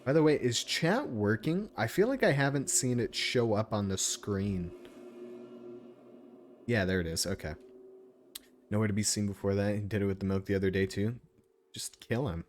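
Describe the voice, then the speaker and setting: A man's voice: lowish voice, normal speed, long pauses. A man doing casual live-stream game commentary, irreverent, sweary and easily distracted. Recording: faint background noise.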